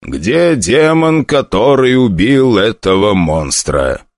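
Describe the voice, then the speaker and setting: A man voicing a cold, emotionless male demon hunter who speaks flatly like a machine. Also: on the low side, slow pace, no room sound, pitched above the performer's own voice, exaggerated voice. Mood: cold menace. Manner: flat, machine-like, dry menace.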